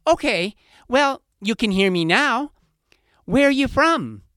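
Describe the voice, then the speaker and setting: A man voicing a spoiled, smug young vixen in a storybook tale, calling out in a high, excited voice. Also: takes it slowly, no room sound, clean studio sound, full character voice. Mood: pushy.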